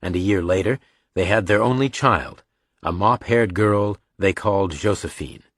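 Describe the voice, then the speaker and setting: Male audiobook narrator, lowish pitch, dry close-miked scripted storytelling. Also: normal speed, dry recording.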